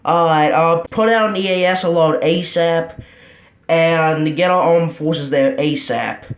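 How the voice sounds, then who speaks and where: A man's voice: a little high, a little slow, roomy sound. A man playing a blunt, foul-mouthed president in an amateur scripted drama. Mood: grim, decisive.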